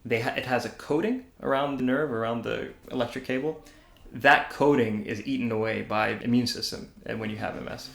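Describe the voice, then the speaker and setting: Gravelly young man in a roomy echo. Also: mid-range voice, brisk. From Dubai. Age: young adult. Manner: relaxed, with many fillers. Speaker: podcast guest. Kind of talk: casual interview answers.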